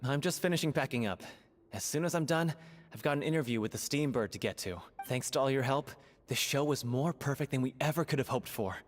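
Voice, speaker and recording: An actor voicing a grateful man from a theater troupe in a video game, speaking briskly. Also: mid-range voice, long reverb tail, lightly acted.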